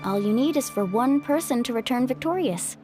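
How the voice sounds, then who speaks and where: A friendly young heroine played by a woman speaks in a high, breezy, encouraging voice. She is an upbeat video game guide, with faint music behind her. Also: lively intonation, fairly quick, warm tone, close to an everyday voice. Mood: earnest explaining.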